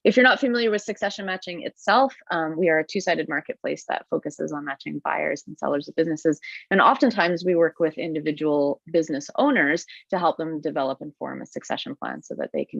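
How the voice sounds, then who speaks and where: Low, gravelly woman hosting a webinar. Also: no echo, highs cut off. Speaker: host. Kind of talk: webinar interview. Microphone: video call.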